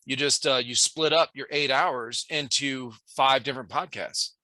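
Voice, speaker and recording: A man's voice: mid-range voice, normal speed, bright, forceful tone, no echo. An American man hosting a podcast, chatting in a laid-back way and calling his guest "bro". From United States. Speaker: podcast host. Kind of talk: casual chat.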